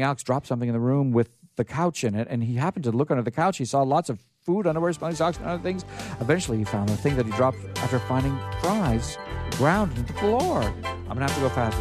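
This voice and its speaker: A man's voice: mid-range voice, big pitch swings, fast, over music. A man hosting a radio show, reading a story aloud live in a casual way and stumbling now and then into asides.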